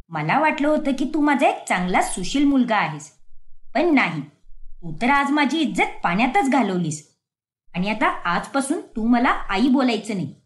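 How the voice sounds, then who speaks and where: A woman's voice: fairly high pitch, lightly acted. A woman voicing a stern, commanding middle-aged mother in a cartoon story. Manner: scolding, commanding.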